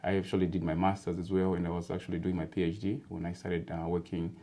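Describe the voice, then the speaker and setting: A man from Zimbabwe, talking as an interview guest in a relaxed, chatty way, with many fillers and easy laughter. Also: sits low, quick pace. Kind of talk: casual interview chat.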